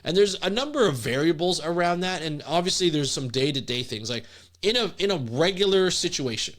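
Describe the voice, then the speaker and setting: A man hosting a solo podcast, talking in an energetic, conversational way with fillers and restarts while congested from a cold. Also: on the high side, a little fast. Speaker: podcast host.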